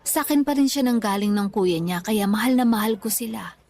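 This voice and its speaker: A woman playing a forgiving, self-effacing older mother in a radio drama, meek and gently defensive. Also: medium pitch, fairly quick, natural voice. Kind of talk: Tagalog radio drama acting.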